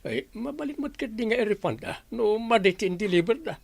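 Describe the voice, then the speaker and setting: A man's voice: high voice, expressive, a little fast, vocal fry, no room sound, exaggerated voice. A man with an Ilocano accent playing a homely, somewhat hesitant village captain in a radio comedy drama. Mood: musing.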